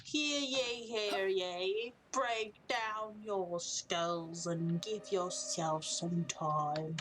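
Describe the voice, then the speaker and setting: A woman's voice: mid-range voice, extremely slow, noisy background. A woman streaming a video game, chatting casually to her viewers in a rambling running commentary full of jokey asides. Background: faint game music.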